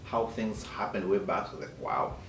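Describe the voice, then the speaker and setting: A man's voice: fairly low pitch, normal speed, vocal fry, fairly bright tone, a little hiss or hum. Man chatting from off mic, echoey. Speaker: co-host, host's husband. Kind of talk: casual chat, brief reactions. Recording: echoey, distant from mic, faint music.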